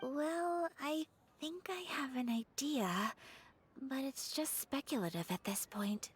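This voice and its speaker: High-voiced, refined young noblewoman. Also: expressive, takes it slowly, background noise. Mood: intrigued. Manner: formal, courteous, gentle. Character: polite, refined, shy young noblewoman, earnest and lonely. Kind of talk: anime game voice acting.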